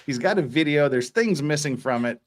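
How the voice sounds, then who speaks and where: A man's voice: a little high, brisk, a little hiss or hum. A man hosting a livestream, bantering in a jokey way and reading court transcripts aloud. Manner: jokey, animated. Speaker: livestream host.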